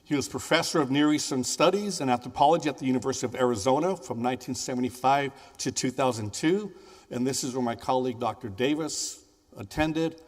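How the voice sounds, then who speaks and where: Man in his sixties introducing a speaker. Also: mid-range voice. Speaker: event host introducing a speaker. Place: large wood-paneled library hall. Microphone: handheld microphone. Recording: reverberant hall.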